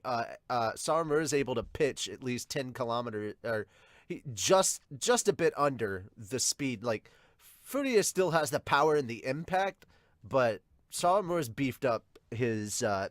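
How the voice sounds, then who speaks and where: A man's voice: mid-range voice, a bit bright, no room sound, studio quality. A man co-hosting an anime fan podcast, talking casually and bluntly in a rambling, sometimes sweary way to viewers. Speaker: co-host of an anime and manga podcast.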